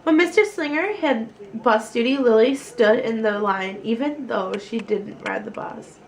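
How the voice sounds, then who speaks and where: Unhurried, high-voiced woman reading a storybook. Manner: expressive, deliberate phrasing. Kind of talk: reading a children's picture book aloud.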